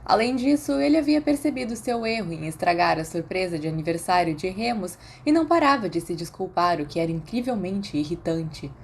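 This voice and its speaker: A woman's voice: fairly low pitch, expressive, fairly quick, gravelly, few pauses, slight background noise. A woman with a Brazilian accent reading scripted fiction as an audiobook narrator. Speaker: podfic narrator.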